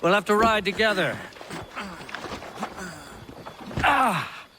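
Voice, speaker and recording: An excitable game patriot of colonial times. His voice is high and animated, formal yet urgent, in a reverberant space with noise behind it. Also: normal speed. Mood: excited, urgent. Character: fervent, excitable colonial patriot rallying allies. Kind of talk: video game character acting.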